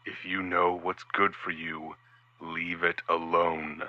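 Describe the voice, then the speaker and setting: A gruff, wary private investigator. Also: male voice, low voice, little pitch movement, slow pace, no room sound, lo-fi sound. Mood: stern. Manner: curt, warning, guarded.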